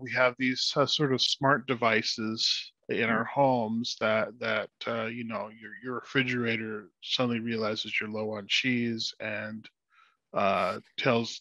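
A man in his thirties explaining in casual podcast conversation, halting and repeating words, on a headset. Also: medium pitch, pushed and bright, dry recording. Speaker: podcast guest, audio drama creator. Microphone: headset on a video call. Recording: slightly dull video-call sound.

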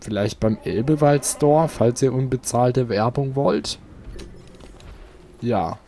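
A man's voice: medium pitch, takes it slowly, mellow, slight background noise. A man hosting a casual let's play, chatting to his viewers. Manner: chatty, talks to viewers, asks for likes. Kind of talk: casual gameplay commentary.

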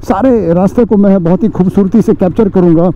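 High-voiced man narrating a bike ride. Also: a little fast, warm tone. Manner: chatty, addresses viewers as friends, many fillers. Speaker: motovlogger. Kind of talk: casual narration while riding. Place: outdoors on a motorcycle on city and village roads. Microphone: helmet or bike-mounted action camera mic. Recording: dull lo-fi outdoor riding audio with faint road noise. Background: faint traffic and road noise.

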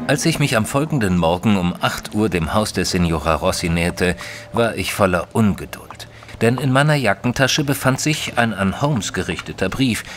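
An actor playing a detective's companion, narrating an audio drama in a measured, literary, descriptive way. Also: male voice, sits low. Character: male companion of a detective, narrating in first person.

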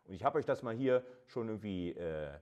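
A male university lecturer with a fairly low voice. He explains step by step in an informal, colloquial style, speaking straight to his listeners as if in conversation. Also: expressive, brisk, a little mellow, highs cut off.

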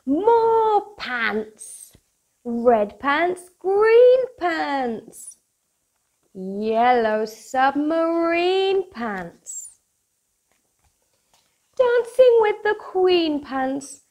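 A British woman in her thirties presenting for young children in a playful, expressive, sing-song manner, as if hosting a picture-book club. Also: high-pitched, extremely slow, pauses often. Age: 30s. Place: small room at home, indoors. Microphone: lapel mic.

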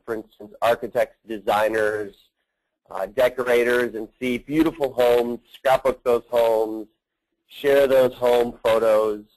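A man presents a product walkthrough as a company representative on a conference call. His pitch is average, and he often pauses partway through a phrase. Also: low-quality recording.

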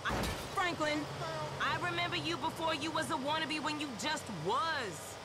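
A woman's voice: sits high, moderate pace, loud music behind the voice, close to an everyday voice. A woman playing a brash, flirty, streetwise neighborhood character in a video game. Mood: teasing, defensive. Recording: very noisy, game traffic and engine sounds.

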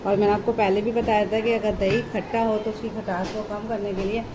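Home cook host, female, mid-pitched, casual step-by-step explainer, noisy home recording. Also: little pitch movement, fairly quick. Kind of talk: casual recipe tutorial narration.

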